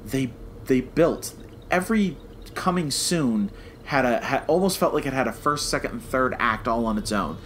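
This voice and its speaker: An American man co-hosting a podcast in casual, animated fan chat that slips into mock quotes. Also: mid-range voice, moderate pace, slight background noise. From United States. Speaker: podcast co-host.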